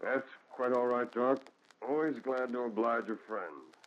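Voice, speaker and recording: A man playing a genial small-time judge in an old radio play. He sounds folksy and obliging, with a mid-pitched voice on a dull vintage recording. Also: unhurried, natural voice. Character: genial, obliging male judge officiating a wedding. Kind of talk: 1940s radio drama acting.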